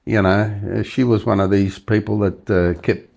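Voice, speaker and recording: Rambling older Australian man, lowish voice. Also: steady tone, normal speed, vocal fry, a little mellow, lo-fi sound. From Western Australia. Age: 70s. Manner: rambling, many fillers and restarts. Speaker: interview guest. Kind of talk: casual storytelling interview.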